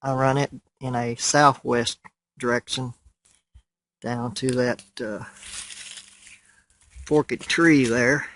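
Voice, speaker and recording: A plain-spoken man casually explaining a hobby setup in an unhurried way, outdoors behind a handheld camera. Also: medium pitch, steady tone, a bit bright. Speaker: radio hobbyist. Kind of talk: casual home video walkthrough. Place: outdoors in a wooded yard by a house. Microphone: handheld camera, held by the speaker.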